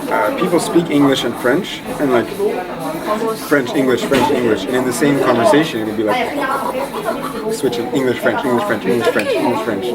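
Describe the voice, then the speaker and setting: A young man from Eastern Canada chatting casually in an interview, relaxed and rambling with lots of fillers. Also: medium pitch, a little fast. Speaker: interview guest. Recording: loud crowd noise behind.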